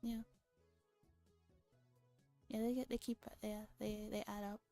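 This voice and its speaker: A woman's voice: mid-range voice, little pitch movement, slow, dark tone, faint music behind. A woman streamer with a playful, casually profane manner, reading a visual novel aloud and chatting casually.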